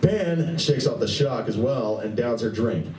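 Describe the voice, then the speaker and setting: A man's voice: mid-range voice, animated, measured pace, darkish tone, distant mic. A man giving dramatic scripted narration for a live radio play. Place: live stage reading at a convention. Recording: echoey room, picked up from afar.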